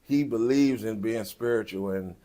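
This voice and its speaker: A man with a fairly low voice speaks in an interview as a pastor and producer. His delivery is deliberate and halting, as he works through his thoughts. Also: warm tone. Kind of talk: post-show interview.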